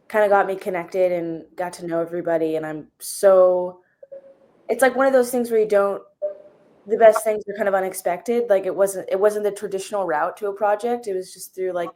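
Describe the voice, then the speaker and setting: A woman's voice: medium pitch, a little mellow. A woman, an actress guest, chatting casually as she answers interview questions.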